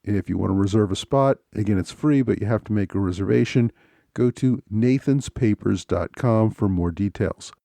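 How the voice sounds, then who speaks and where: Low, mellow-voiced male podcast host. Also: normal speed, creaky, dry recording. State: slightly scratchy from a cold. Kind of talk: solo podcast talk.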